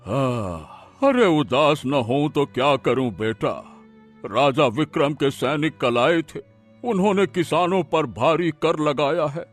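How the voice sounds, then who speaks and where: A man's voice: a little high, lively intonation, slow, faint music behind. A man playing a worried, downcast village headman in a cartoon, with a plaintive, folksy way of talking. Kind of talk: animated Hindi moral-story acting.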